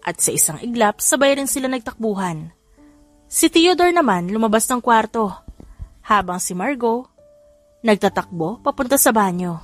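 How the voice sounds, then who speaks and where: A woman's voice: on the high side, expressive, moderate pace, long pauses, no echo. A woman with a Filipino accent reading a scripted story as an audiobook narrator, acting out the dialogue. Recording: music under the voice. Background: background music.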